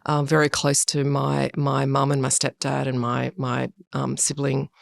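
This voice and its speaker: A middle-aged Australian woman talking as a podcast guest, chatty and thoughtful, pausing with ums and correcting herself as she goes. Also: rumbling low, monotone, gravelly, dry recording, clean studio sound. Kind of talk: casual podcast interview.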